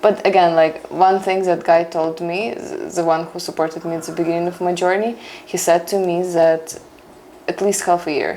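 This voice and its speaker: A young woman with a Ukrainian accent, a non-native English speaker, telling her story as an interview guest. She acts out past conversations in a lively way. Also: fairly low pitch, fast, slight background noise, rough recording. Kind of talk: casual interview chat.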